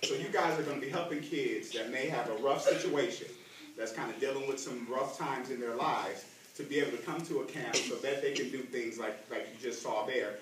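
A man's voice: medium pitch, fairly quick. A middle-aged American man giving a playful, theatrical presentation to children in a classroom. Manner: animated, theatrical. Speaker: presenter at a school event. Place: classroom, indoors, full of children. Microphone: distant camera. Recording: very echoey, noisy classroom, mic far away.

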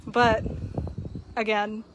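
A woman's voice: a little high, level tone, extremely slow, background noise. A young woman from Okinawa, Japan, chatting casually on a live stream outdoors, thoughtful, with many fillers. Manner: thoughtful, talks with frequent fillers and laughs. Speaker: vlog host. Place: outdoors under a concrete shelter in a grassy park. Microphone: phone held at arm's length.

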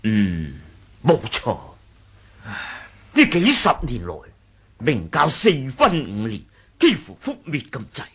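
An actor playing a loyal, deferential senior lieutenant of a martial sect in a Hong Kong Cantonese radio drama, formal and husky. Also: male voice, medium pitch, huge pitch swings, takes it slowly, long pauses, fairly bright tone, full character voice. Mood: respectful, proud. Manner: formal, respectful. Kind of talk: 1980s radio drama acting.

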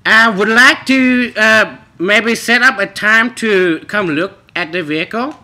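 A man acts out a naive immigrant wife on a prank call. The voice is high and very sharp, slow and halting. She presses on insistently in broken English. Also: exaggerated voice. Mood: halting, earnest.

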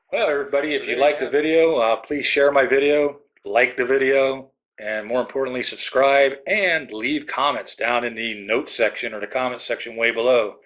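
A man's voice: mid-range voice, sharp tone. A middle-aged man hosting a casual product review, chatty and rambling with jokey asides. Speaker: channel host.

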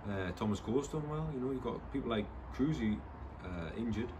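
A middle-aged man, a football first team coach, talking in a relaxed, proud, chatty way after a match, often restarting sentences. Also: medium pitch, brisk. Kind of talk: post-match interview. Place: football stadium stand, outdoors. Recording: distant outdoor camera audio with noise.

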